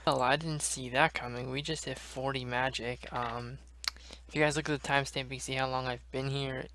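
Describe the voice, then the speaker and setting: Gravelly-voiced man narrating his gameplay. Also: mid-range voice, steady tone, fairly quick, bright, forceful tone, a little hiss or hum. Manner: chatty, addresses viewers as guys. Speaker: gaming video creator. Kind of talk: casual let's-play commentary.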